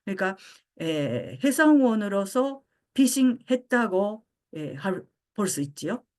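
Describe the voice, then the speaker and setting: An older woman born in Japan giving a philosophy lecture, pausing often with fillers as she searches for words. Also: mid-range voice, very animated, darkish tone. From Japan (Osaka). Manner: frequent hesitation fillers, halting.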